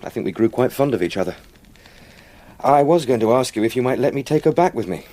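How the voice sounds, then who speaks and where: A man's voice: mid-range voice, expressive, fast talker, natural voice. Young English man, acted, radio drama solicitor; mid-range voice, earnest, polite, shaken and convalescent. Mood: grateful, warm. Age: young adult. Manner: earnest, gentle, formally polite. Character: earnest young solicitor, humbled and haunted, recovering from illness.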